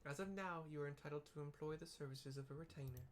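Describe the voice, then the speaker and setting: A man's voice: a little high, quick pace, natural voice. A man voicing a formal, courtly young lady leader from a video game in an attempted British accent, now and then stumbling over the words. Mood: formal, gracious. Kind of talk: amateur read-aloud of game dialogue. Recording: clearly audible background noise.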